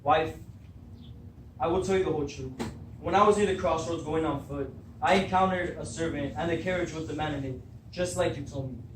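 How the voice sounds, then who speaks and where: Troubled, hot-tempered tragic king, played by a young man, higher male voice, stage readers theatre, distant mic with some noise. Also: brisk, natural voice. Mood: grave, recounting. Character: troubled, hot-tempered king of Thebes, fearful and guilt-ridden. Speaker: student actor. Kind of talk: readers theatre stage acting of Greek tragedy. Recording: distant echoey room recording with background noise.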